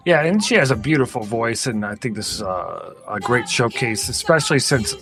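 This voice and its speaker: A man's voice: mid-range voice, expressive, normal speed. Casual male podcaster with music behind. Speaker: podcast co-host.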